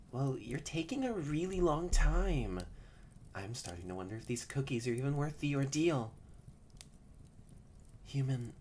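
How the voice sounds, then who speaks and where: Woman voicing a very low-pitched, bratty catboy. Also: a little hiss or hum. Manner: bratty, bossy, flustered, lapsing into soft murmurs. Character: haughty, tsundere young male catboy, secretly soft-hearted. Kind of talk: scripted roleplay audio drama, addressed to the listener.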